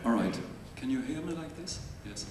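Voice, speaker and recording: Belgian guy explaining things calmly on a panel, far from the mic, roomy echo. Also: mid-range voice, normal speed. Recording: distant mic, echoey hall, faint noise.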